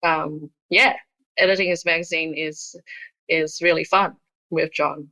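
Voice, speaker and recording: A woman speaks as an editor in a casual interview. Her voice sits on the low side with very little rise and fall, and the recording is dry, without room sound. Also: fairly even intonation, measured pace, pushed and bright, no room sound, poor audio. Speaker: magazine editor being interviewed.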